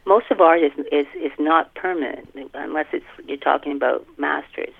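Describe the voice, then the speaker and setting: Gravelly middle-aged woman on a phone line. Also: deep voice, normal speed, no room sound. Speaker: author, podcast guest. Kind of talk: casual interview chat. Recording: muffled narrow phone line.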